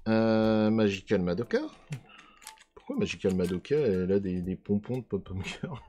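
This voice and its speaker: A man with a hoarse voice casually commenting as he unboxes trading cards, often trailing off while he tries to recall names. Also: fairly low pitch, big pitch swings, normal speed, warm tone. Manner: musing, trails off hunting for names. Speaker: card unboxing video host.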